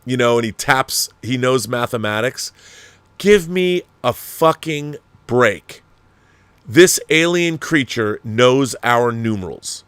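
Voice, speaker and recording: A man's voice: medium pitch, lively intonation, slow, fairly bright tone, smooth, dry recording. A man hosting a commentary show, talking casually and opinionatedly in a rambling, emphatic way, repeating himself and swearing freely. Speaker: host of a film and TV commentary show. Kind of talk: casual opinionated commentary, answering viewer messages.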